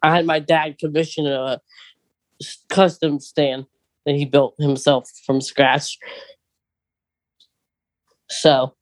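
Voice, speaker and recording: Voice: mid-range voice, flat delivery, slow, pauses often. A podcast co-host chatting casually and conversationally about fandom news, often putting questions to a partner.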